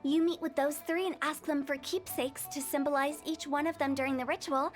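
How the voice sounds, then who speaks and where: A woman's voice: high voice, fairly even intonation, brisk, soft background music, natural voice. A woman voicing a young, mischievous and self-assured funeral director girl in a game. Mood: playful. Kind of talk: game voice acting.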